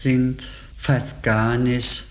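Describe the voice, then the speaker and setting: A man, a store manager, calmly explaining things in an interview. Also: mid-range voice, slight background noise. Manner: measured, explanatory. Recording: roomy, dull lo-fi recording.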